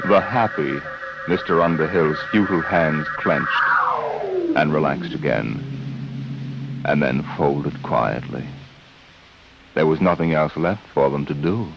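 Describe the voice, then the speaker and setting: American guy hosting old radio sci-fi, deep-ish, theatrical pauses, music under him, dull vintage sound. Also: creaky. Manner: portentous, theatrical, deliberate pauses. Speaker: radio show host and narrator. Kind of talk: dramatic 1950s radio narration.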